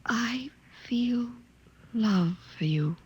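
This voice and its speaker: An actress playing an earnest, troubled scientist discovering emotion, hesitant, in 1950s radio drama style. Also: female voice, a little high, lively intonation, very slow, husky, exaggerated voice. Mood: tender, bewildered.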